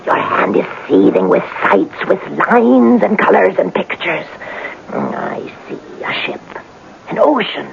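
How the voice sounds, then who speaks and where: Gravelly, slow, theatrical fortune-teller. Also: female voice, mid-range voice, animated. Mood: awed, ominous. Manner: slow, melodramatic, repeating phrases in mystical trance. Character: theatrical fortune-teller, mystical yet money-minded palm reader. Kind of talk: 1970s radio drama acting. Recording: muffled, noisy old radio broadcast.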